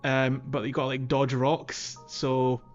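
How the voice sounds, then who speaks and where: A man's voice: mid-range voice, completely flat delivery, unhurried, soft background music. Dull lo-fi recording, man chatting casually. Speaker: podcast co-host. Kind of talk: casual chat, loosely explaining gameplay.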